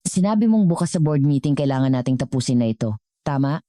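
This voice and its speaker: A woman storyteller plays a stern old company chairman, a wounded father who speaks gravely and deliberately. The voice is low and mellow, recorded dry, as in an audio storybook. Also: expressive, no room sound. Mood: accusing.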